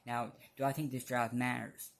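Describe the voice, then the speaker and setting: A man giving casual opinion commentary as a fan vlogger, speaking bluntly in a halting, rambling way. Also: medium pitch, level tone, gravelly.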